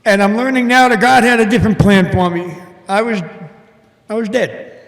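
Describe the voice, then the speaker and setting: An older man with a big, booming voice giving an earnest, halting personal testimony to a church congregation over a mic. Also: steady tone. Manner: earnest, halting, emotional storytelling. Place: church hall. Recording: echoey hall with long reverb.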